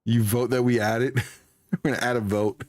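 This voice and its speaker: A man's voice: mid-range voice, level tone, moderate pace, darkish tone, no echo. A man chatting casually as a livestreamer, in an amused way, often repeating himself. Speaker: livestreamer playing a game.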